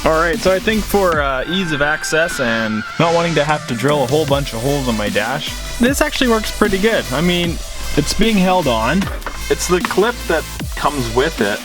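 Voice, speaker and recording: A man's voice: a little high, big pitch swings, moderate pace, over music. Casual man narrating hands-on DIY. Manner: informal, thinking aloud while working. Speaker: vlogger. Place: vehicle cabin.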